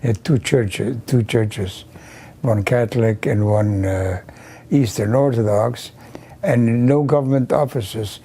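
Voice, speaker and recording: An older man from the Carpathian region, Yiddish his first language, recalling his childhood slowly with many pauses and restarts in an oral history interview. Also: mid-range voice, mellow, husky. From Carpathian region of Czechoslovakia. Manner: slow, halting, many ums and restarts.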